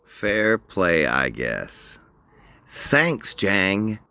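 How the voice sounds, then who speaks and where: A man's voice: deep voice, wildly swooping pitch, drawn-out words, sharp tone, smooth, no room sound. A man hosting a commentary show, mocking the clips he plays with dry sarcasm. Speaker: commentary host.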